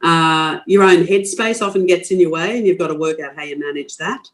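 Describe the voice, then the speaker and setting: A woman's voice: fairly low pitch, brisk. An older Australian woman speaks her mind in a podcast interview, blunt and forthright. She laughs easily and often restarts her sentences as she thinks aloud. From Queensland, Australia. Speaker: guest.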